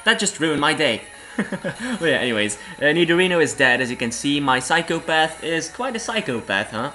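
A young man from Belgium talks through a game with a hoarse, cold-roughened voice. His tone is bright and a little tense, with faint music playing underneath. He rambles, apologizes and laughs at himself as he goes. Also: a little high, very animated, normal speed. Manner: rambling, self-deprecating, laughs often. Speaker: let's play host. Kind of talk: casual game commentary.

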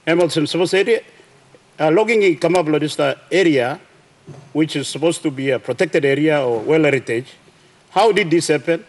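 A middle-aged Papua New Guinean man, a governor asking a question in a parliament chamber, speaking haltingly with many fillers and restarts. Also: a little high. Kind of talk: parliamentary question time, mixing Tok Pisin. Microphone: desk mic behind a plexiglass screen.

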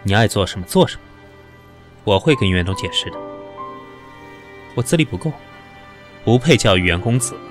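A proud executive, weak with fever, answers in a low, cold voice full of sarcasm, as a male actor plays him. Soft music plays faintly behind this radio drama scene. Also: animated, normal speed, pauses often, lightly acted. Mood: cold sarcastic. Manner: sarcastic and cutting.

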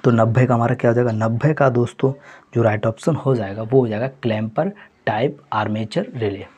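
A man with a husky, slightly breathy voice works through a mock test as a teacher. His pitch sits in an ordinary middle range. He repeats the answers and keeps calling his viewers friends. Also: a little fast, a little mellow. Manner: repetitive, addresses viewers as friends. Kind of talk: exam question walkthrough.

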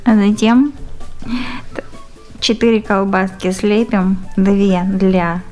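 A woman narrates a hands-on craft lesson at a slow pace. She speaks in short, simple phrases with fond diminutives, at a middling pitch, over faint music. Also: fairly even intonation, warm tone. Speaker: craft tutorial presenter. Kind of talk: step-by-step instructional narration.

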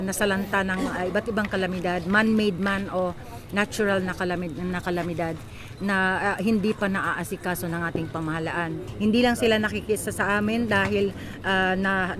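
A woman's voice: mid-range voice, fairly even intonation, normal speed. An older woman, speaking as an activist spokesperson in an impassioned press interview into a handheld microphone. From Philippines. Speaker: activist, disaster survivor spokesperson. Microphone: handheld reporter microphone. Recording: street crowd and traffic noise.

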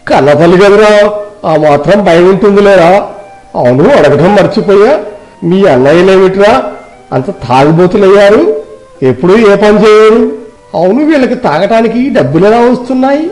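A man voicing a familiar, concerned fisherman uncle in an animated village moral story. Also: high voice, moderate pace, soft background music, poor audio. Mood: consoling.

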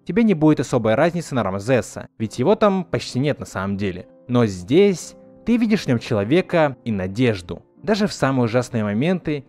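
A man's voice: medium pitch, darkish tone. A man narrating a scripted video essay as a reviewer, speaking in an earnest, opinionated way. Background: background music.

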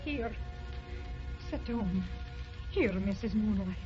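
An actress playing a solemn, solicitous maid in an old radio drama. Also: female voice, medium pitch, takes it slowly, lightly acted. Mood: solemn, grieving. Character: solemn, solicitous maid bearing sad news. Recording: dull old radio recording, faint music.

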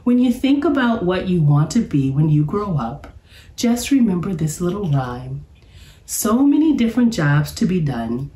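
A woman's voice: fairly low pitch, sing-song, a little slow, warm tone, distant mic, pitched above the performer's own voice. A woman voicing a cheerful, instructive male bear professor from a children's storybook, encouraging and emphatic, in an echoey room. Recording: roomy, echoey sound.

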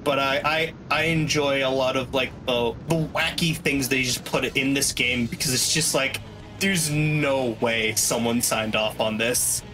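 A man's voice: mid-range voice, fairly even intonation, measured pace, low-quality recording. A man giving casual, rambling, wry commentary as the runner in a video game speedrun. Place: small room at home, indoors. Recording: game music behind the voice. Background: video game soundtrack music.

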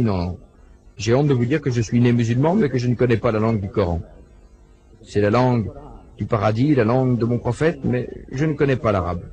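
A man voicing a lecture in a translated voice-over, telling anecdotes with animated delivery and acting out the quoted dialogue. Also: mid-range voice.

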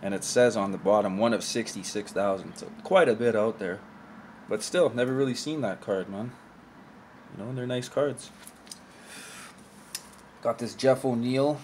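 A man's voice: medium pitch, moderate pace, pauses often, a little mellow, slight background noise. A young man casually showing off old collectible cards in a room at home, talking offhand into a camera mic on the table and often trailing off. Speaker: card collector vlogger. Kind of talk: casual card showcase commentary. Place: indoor room with shelf of collectibles.

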